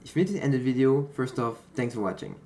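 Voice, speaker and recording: A young man with a French accent giving a calm, explanatory voice-over that breaks down a match he played. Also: mid-range voice, very fast. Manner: explanatory, slightly non-native phrasing. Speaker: gaming content creator. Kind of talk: gameplay commentary voice-over. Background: background music.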